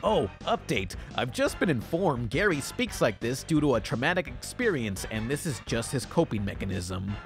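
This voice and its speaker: A man's voice: mid-range voice, very animated, moderate pace, vocal fry, hardly any pauses. Sarcastic man reviewing over music. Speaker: cartoon reviewer and host. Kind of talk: scripted review narration.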